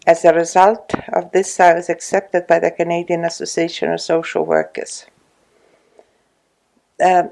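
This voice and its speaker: A woman in her sixties, from Estonia, speaking English with an Estonian accent in an oral history interview at her home, slow and hesitant with many pauses. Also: fairly low pitch, gravelly, a little mellow, low-quality recording. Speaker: retired social worker interviewee.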